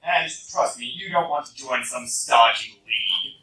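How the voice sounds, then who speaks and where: A man's voice: mid-range voice, moderate pace, creaky, sharp tone. A man playing a brash, flippant playboy superhero with swagger, in a roomy, distant fan radio play recording. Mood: brash, playful.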